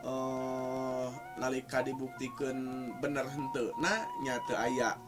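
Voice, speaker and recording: A man's voice: medium pitch, wildly swooping pitch, slow. A man with a Sundanese West Java accent explains like a teacher in an informal, hesitant conversational way, repeating key words for emphasis. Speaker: teacher explaining folk tales. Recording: music playing under the voice.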